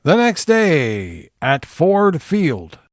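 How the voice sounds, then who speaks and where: Man as animated comedy narrator, dry sound. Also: fairly high pitch, drawn-out words, no room sound, exaggerated voice. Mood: scene-setting. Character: storytelling narrator of a comedy audio play. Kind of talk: scripted narration.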